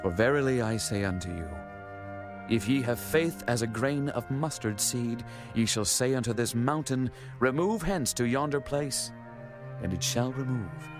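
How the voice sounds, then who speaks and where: Man playing Jesus, solemn commanding mid-pitched teacher. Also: animated, unhurried, music in the background, natural voice. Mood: earnest teaching. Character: Jesus, authoritative adult male teacher and messiah. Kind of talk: dramatized scripture acting, archaic English.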